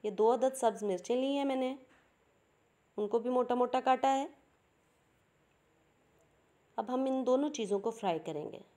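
Mellow-voiced woman explaining a recipe. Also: a little high, a little fast. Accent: Pakistani Urdu. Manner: patient, explains each step plainly. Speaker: cooking channel host. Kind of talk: step-by-step cooking instructions.

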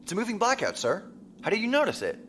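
Dutiful space-navy officer acted by a man, audio drama, official and military, lively intonation, noisy ambience behind. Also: high voice, fast, full character voice. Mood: uneasy deference. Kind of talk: science fiction audio drama acting. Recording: clear background noise and ambience.

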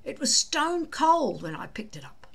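A woman voicing a modest, self-doubting young Viking boy in a storybook, measured and emphatic. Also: high-pitched, big pitch swings, gravelly, a bit bright, pitched above the performer's own voice, full character voice. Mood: reassuring.

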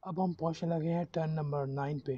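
A man's voice: fairly high pitch, a little fast, dry recording, highs cut off. A man in his thirties giving casual, informal vlog commentary, mixing English terms into his talk. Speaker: vlogger. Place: inside a car and outdoors cycling on a track. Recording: steady vehicle and outdoor background noise.

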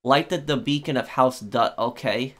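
A man's voice: mid-range voice, moderate pace, a bit bright. A man giving casual let's play commentary, hesitantly thinking aloud as he goes. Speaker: gaming YouTuber.

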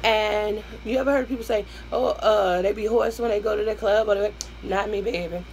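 A hoarse woman in her thirties with a Southern US accent, hosting an eating show from a small room at home, chatting to her viewers and humming between bites. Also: medium pitch, fairly even intonation, normal speed, a little mellow. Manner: chatty, addresses viewers as y'all, hums while eating. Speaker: mukbang host. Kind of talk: casual eating-show chat.

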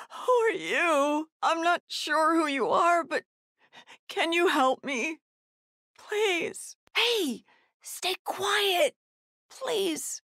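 A woman's voice: high-pitched, fairly bright tone, no room sound, clean studio sound, exaggerated voice. A woman playing a frightened, desperate mother in a survival horror video game, with pleading, gasping delivery. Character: desperate, frightened mother searching for her missing son.

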